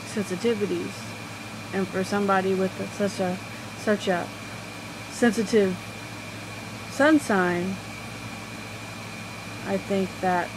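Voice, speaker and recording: A woman with a quiet voice talking casually about astrology, rambling and halting with many fillers. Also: lowish voice, noisy background. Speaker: astrology vlogger. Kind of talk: casual personal talk.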